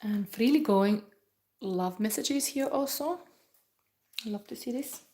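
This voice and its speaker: A woman from Finland with a Finnish accent, giving a rambling, musing tarot reading in English that often drops to a soft whisper. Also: a little high, a little slow. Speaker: tarot reader. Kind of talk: live pick-a-pile tarot reading chat.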